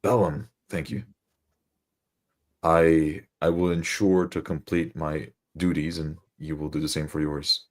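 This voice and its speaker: A world-weary, wounded adventurer, acted by a man in a fantasy tabletop game. His voice is extremely deep, and he speaks in a formal, deliberate, grumbling way. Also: little pitch movement. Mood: grateful.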